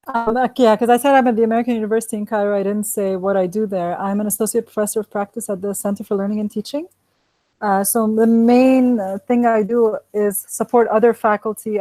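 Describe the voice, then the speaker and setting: A woman raised in Kuwait hosting an online panel over a video call, talking in a relaxed, chatty way with fillers. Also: medium pitch, dry recording, poor audio. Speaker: host, associate professor.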